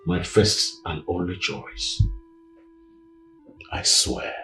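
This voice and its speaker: A proud, wrathful king in a film drama. His voice is very deep and creaky, and he sets out each phrase slowly and weightily, full of wounded menace. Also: exaggerated voice, low-quality recording. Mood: menacing.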